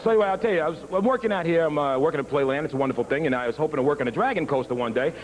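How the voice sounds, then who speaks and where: Man playing a fast-talking, self-important security guard. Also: a little high, lightly acted, rough recording. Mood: chatty, self-important. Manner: brash, colloquial street talk. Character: chatty, bumbling, self-important arena security guard, easily fooled. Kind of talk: mock interview comedy sketch.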